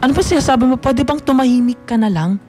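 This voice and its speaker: A man's voice: very high-pitched, fast talker, darkish tone. Rebellious teenage boy, Filipino accent, petulant, radio drama, faint music behind. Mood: irritated, dismissive. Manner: snappy, petulant. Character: defiant, sulky teenage son, a rebellious student. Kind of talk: radio drama dialogue, Taglish.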